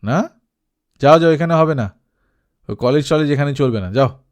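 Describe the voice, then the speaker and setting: A man's voice: fairly high pitch, expressive, normal speed, no room sound, natural voice. A man voicing a contemptuous, brusque young rake from a rich house in a story. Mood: scornful. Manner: scornful, curt, dismissive.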